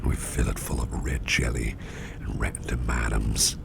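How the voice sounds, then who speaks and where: A man playing an attentive, easygoing businessman boss in a soft, breathy, whispery ASMR roleplay, unhurried and soothing, murmuring close to the microphone. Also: little pitch movement, background noise, full character voice. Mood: relaxed, musing. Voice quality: very low, gravelly, breathy near-whisper. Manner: unhurried, soothing, indulgent, musing aloud.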